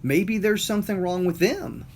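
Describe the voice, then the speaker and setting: Middle-aged southern guy rambling on, lotta ums, bit high pitched, faint hiss behind. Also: expressive, normal speed, a little mellow. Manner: rambling, repeats words, lots of fillers. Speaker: motivational talker. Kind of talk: casual monologue.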